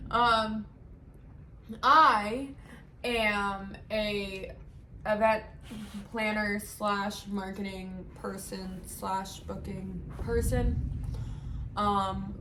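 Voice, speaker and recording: A woman in her twenties chatting casually with her partner, blunt and quick with interjections. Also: medium pitch, fairly bright tone. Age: 20s. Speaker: co-host of couple Q&A video. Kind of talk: casual chat. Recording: echoey room, mic from a distance, noisy.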